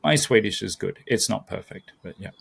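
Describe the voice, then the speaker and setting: A man's voice: fairly low pitch, lively intonation, normal speed, creaky, slightly rough. A man speaking as a podcast guest, talking casually and thoughtfully, searching for words aloud. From not American. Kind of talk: casual conversation.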